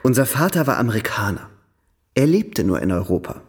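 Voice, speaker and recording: An actor playing a charming, worldly young European gentleman in a radio drama, polite, effusive and playfully theatrical. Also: mid-range voice, big pitch swings, fairly quick, husky.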